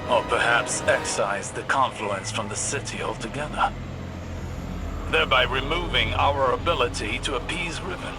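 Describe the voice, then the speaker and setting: An actor playing an older scholar-warrior mentor in a video game, formal, articulate and wry. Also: male voice, mid-range voice, moderate pace, natural voice. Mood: grave, wry. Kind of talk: video game mission dialogue. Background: clear music.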